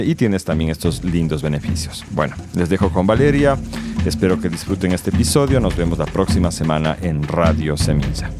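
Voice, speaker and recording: A man who hosts a podcast and asks questions in a friendly, relaxed way. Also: sits low. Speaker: podcast host. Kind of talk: conversational podcast interview.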